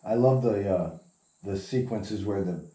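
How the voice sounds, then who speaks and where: A man's voice: on the low side, measured pace, dark tone. A man chatting casually as a co-interviewer, effusive in his way of talking. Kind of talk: casual chat. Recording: echoey room.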